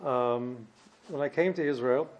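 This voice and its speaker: A man in his fifties with a New York accent, giving an informal personal talk as a guest speaker in a halting, slightly uneasy way. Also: mid-range voice, muffled recording, slight background noise. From New Jersey and Brooklyn, New York, United States. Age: 50s. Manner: hesitant, halting, slightly ill at ease. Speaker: guest speaker, former yeshiva student.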